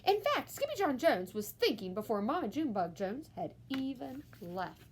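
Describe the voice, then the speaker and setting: A woman reading a children's storybook aloud with expressive, theatrical, emphatic narration. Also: fairly high pitch, moderate pace, slight background noise. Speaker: school staff member reading aloud.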